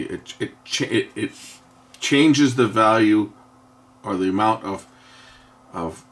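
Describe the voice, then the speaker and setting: A man giving an unscripted, hands-on technical explanation in a halting way, often pausing and repeating himself to correct what he says. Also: low-pitched, a bit bright, a little hiss or hum. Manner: halting, self-correcting, with frequent pauses and repeats. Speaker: host of an electronics repair channel.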